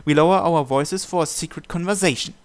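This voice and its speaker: A man's voice: fairly high pitch, a little fast. A man hosting a let's-play, reading a visual novel's narration and every character's lines aloud in his own voice.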